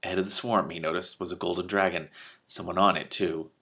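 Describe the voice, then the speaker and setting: A man's voice: low voice, fairly even intonation, fairly quick, bright, forceful tone. A man reading a fantasy novel aloud, breaking in with amused asides. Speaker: reader and commentator.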